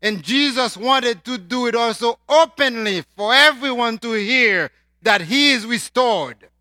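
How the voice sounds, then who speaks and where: A man from Haiti with a Haitian accent, preaching a sermon and swinging between loud emphatic lines and quiet asides. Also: very high-pitched, animated, measured pace, dry recording, clean studio sound.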